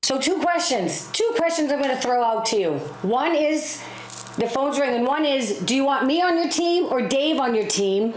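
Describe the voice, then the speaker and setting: A hoarse woman hosting a call-in talk show, brash and teasing, pushing her caller for answers. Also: high voice, lively intonation, moderate pace, roomy sound, slight background noise, poor audio. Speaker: host.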